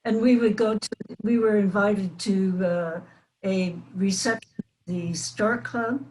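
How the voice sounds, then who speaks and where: Older woman, very slow pace, mid-pitched, hesitant casual reminiscing, interview guest. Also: steady tone, a little mellow, a little hiss or hum, poor audio. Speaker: panel guest, former child radio performer.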